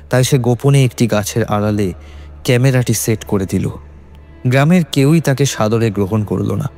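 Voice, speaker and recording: A man's voice: fairly low pitch, dark tone. A man reading a scripted horror story as a dramatic narrator. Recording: music under the voice. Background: background music.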